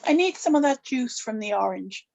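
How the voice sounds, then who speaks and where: A woman's voice: on the high side, lively intonation, moderate pace, creaky, darkish tone, highs cut off. A woman playing a stern, evasive old witch grandmother in a drama script table read. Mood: brisk, impatient.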